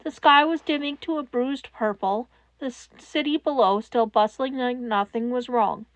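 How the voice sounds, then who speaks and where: A woman reading fan fiction aloud as audiobook narration, in a slow, measured way. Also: high-pitched, no room sound, dull audio. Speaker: podfic reader.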